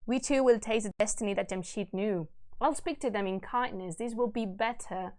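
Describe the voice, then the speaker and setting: A woman reading the part of a wise, peaceable young prince from an epic in a solemn, measured, poetic manner. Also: mid-range voice, dark tone, close to an everyday voice. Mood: gentle, resolute.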